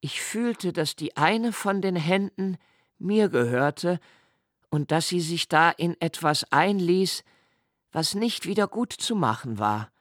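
A middle-aged man giving a quiet, measured, inward first-person narration in a literary radio play, recorded in a studio. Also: high-pitched, expressive, no room sound.

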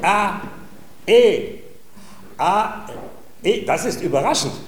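An actor in a comic radio play, playing a naive, vain gentleman pupil who is childishly delighted and exclaims and repeats himself. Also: high voice, animated, slow pace, roomy sound, slight background noise. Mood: gleeful wonder. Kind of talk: theatrical classic-comedy radio acting.

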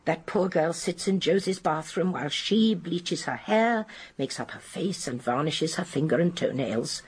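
Old english spinster sleuth, radio play, very husky voice, really lively intonation, prim and sure of herself. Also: female voice, low voice, a little slow, exaggerated voice. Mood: grave, explanatory. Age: older. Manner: precise, genteel, emphatic storytelling. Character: shrewd elderly spinster amateur detective, genteel, certain, gently indignant. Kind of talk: British radio drama acting.

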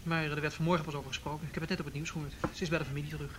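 A chatty young policeman in a radio drama, acted by a man. He speaks quickly in a natural, matter-of-fact way, with faint noise in the background. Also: fairly high pitch, little pitch movement, lightly acted. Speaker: actor in a radio play.

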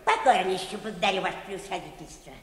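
A woman's voice: mid-range voice, fast, natural voice. A woman playing a pushy, wheedling petitioner in a comic radio play, plaintive, obsequious and insistent. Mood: fawning. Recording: echoey hall, long reverb.

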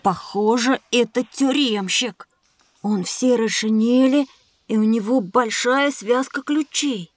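A woman voicing an inquisitive, excitable young boy in a children's audio drama. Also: a little high, measured pace, slight background noise, lightly acted. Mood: curious.

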